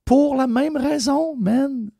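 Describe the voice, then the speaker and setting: A man's voice: extremely high pitch, slow pace, gravelly, dark tone, no room sound, clean studio sound. A man with a Québécois French accent hosting a radio talk show, ranting bluntly and swearing freely. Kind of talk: opinionated radio rant.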